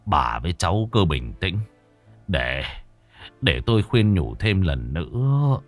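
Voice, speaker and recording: A man voicing an old village widower who speaks sadly and haltingly, ashamed of his children. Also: sits low, big pitch swings, faint music behind, exaggerated voice. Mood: mournful. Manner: mournful, halting, stammering.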